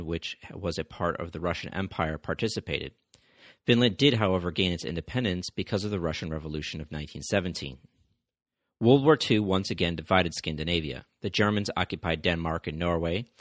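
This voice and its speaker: A man's voice: sits low, a bit bright, no room sound, studio quality. A man hosting a history podcast, reading a scripted narration steadily, with the odd stumble and restart. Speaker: podcast host.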